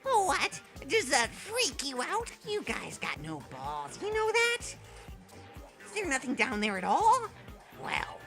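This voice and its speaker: A woman's voice: high-pitched, fairly bright tone, exaggerated voice. A woman voicing a crude, mocking robot bear mascot villain in an anime game fan dub, gleefully taunting and theatrical. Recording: music behind the voice.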